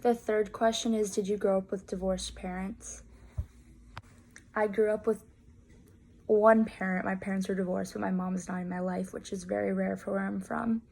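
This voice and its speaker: A woman's voice: medium pitch, fairly even intonation, normal speed, creaky, a little mellow, slight background noise. A nineteen-year-old woman casually explaining a class project, with lots of filler words. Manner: rambling, heavy use of "like" fillers. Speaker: student presenting a class project.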